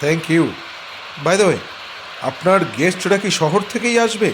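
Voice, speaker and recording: A man's voice: high-pitched, measured pace, noisy background. A man acting as a young man stranded on an island with his fiancée, in an audio drama. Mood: polite. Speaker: Mac.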